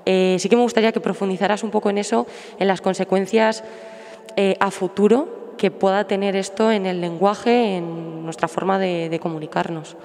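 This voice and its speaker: A woman from the audience politely asking the speaker a question, halting and repeating words. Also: mid-range voice, fairly even intonation, a little mellow. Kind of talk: formal question. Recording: echoey hall.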